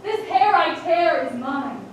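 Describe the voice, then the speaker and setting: A woman's voice: high-pitched, animated, takes it slowly, muffled recording. An actress playing a widowed queen mother crazed with grief, declaiming verse on stage. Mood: anguished. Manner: declaiming, voice swelling to cries. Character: grieving widowed queen mother, frantic with loss. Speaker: stage actor. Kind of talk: live Shakespearean stage acting. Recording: distant stage recording in an echoey hall, with background noise.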